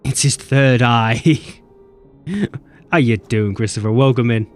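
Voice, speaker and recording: A man's voice: mid-range voice, measured pace. A man streaming a video game, making offhand, profane jokes about what's on screen. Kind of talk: casual live-stream commentary. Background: game music.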